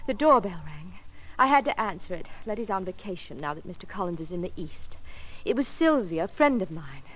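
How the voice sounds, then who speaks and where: A woman's voice: fairly low pitch, sing-song, a little fast, poor audio. An American actress playing an anxious, evasive married woman client in a classic radio drama, hesitant and imploring. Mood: nervous, evasive.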